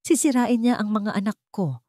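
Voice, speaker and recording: A woman's voice: mid-range voice, lively intonation, moderate pace, dark tone, no room sound, clean studio sound, voice pushed up, lightly acted. A woman voicing a humble mechanic and single father who speaks earnestly and tenderly in a storybook romance. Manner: earnest, pleading.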